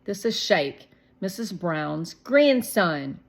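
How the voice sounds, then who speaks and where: A woman's voice: lowish voice, big pitch swings, slow, full character voice. A woman voicing an earnest, reserved young boy in a storybook, matter-of-fact and a little guarded.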